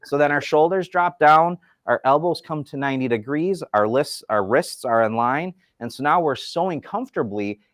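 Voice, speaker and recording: A man's voice: mid-range voice, no room sound, clean studio sound. Upbeat man demonstrating sewing chairs. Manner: enthusiastic, explanatory, folksy. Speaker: furniture company representative. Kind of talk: product demonstration chat.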